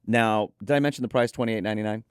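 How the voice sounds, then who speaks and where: Low-voiced man presenting gear, dry sound. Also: steady tone, fast, smooth, no room sound. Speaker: host presenting an audio gear preview. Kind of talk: conversational product review reading specs.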